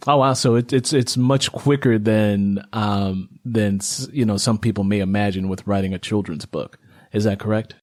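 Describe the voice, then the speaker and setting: A man's voice: fairly low pitch, fairly even intonation, normal speed, darkish tone, dry recording, studio quality. A man hosting a casual interview, talking conversationally in a rambling way and repeating his phrases. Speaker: interview host, writer.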